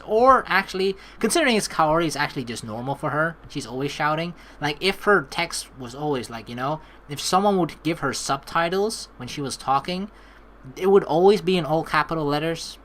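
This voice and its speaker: A woman hosting a game playthrough, reading narration aloud and adding casual commentary in a playful, expressive manner. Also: sits low, a little hiss or hum. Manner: playful, expressive, acts out lines. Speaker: let's play host.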